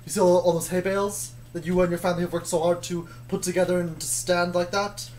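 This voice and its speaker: A woman playing a stubborn, matter-of-fact soldier in improvised comedy, arguing in a rambling, deadpan way. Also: on the low side, fast talker, a little hiss or hum, close to an everyday voice.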